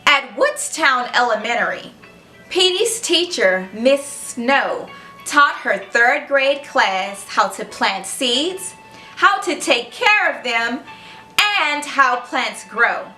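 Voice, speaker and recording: A woman hosting a children's read-aloud, narrating in an animated, expressive storybook style and speaking directly to young listeners. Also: high voice, slow pace, echoey room, faint music behind, rough recording.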